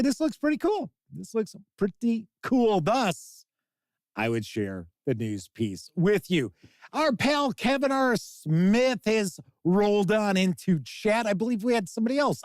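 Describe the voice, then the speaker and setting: A man's voice: sits high, no echo, clean studio sound. A man with a Chicago accent hosting a casual livestream of tabletop gaming news, chatty and jokey as he talks with his chat. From Chicago, USA. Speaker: host.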